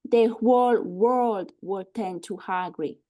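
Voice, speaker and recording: A woman acts a bewildered character in a screenplay drama, straining to be understood through a wall. The voice has a mellow, dark tone. The words come slowly and drawn out, full of confusion. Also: mid-range voice, close to an everyday voice. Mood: bewildered, alarmed. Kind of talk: dramatic live screenplay table read.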